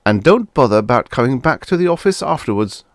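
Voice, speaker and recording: A man performs a cheerfully callous businessman in an audiobook tale. His delivery is airy and commanding, lifted in pitch with lively swings, and the sound is dry and close. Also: fairly quick, no room sound. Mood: coaxing, smug. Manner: chummy, airy, casually commanding. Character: smug, cheerfully callous scheming businessman narrator.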